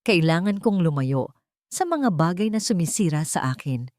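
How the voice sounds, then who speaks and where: A woman's voice: lowish voice, very animated, moderate pace, darkish tone, no echo, studio quality. A woman voicing a hurt but resolute young adult daughter in an audio love story, earnest and emotional.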